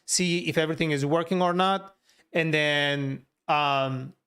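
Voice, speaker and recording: A man's voice: fairly high pitch, unhurried, fairly bright tone, clean tone. A man with a Turkish accent and native Turkish, chatting casually on a livestream as he works and answers viewers. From Turkey. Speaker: livestreamer, software developer.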